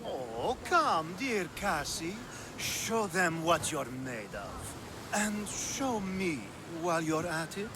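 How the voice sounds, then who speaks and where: An actor playing a flirtatious, decadent nobleman in a video game, teasing with drawn-out innuendo. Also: fairly high pitch, very animated. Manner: teasing, suggestive, drawn-out delivery. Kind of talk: video game cutscene acting. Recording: clear background ambience noise.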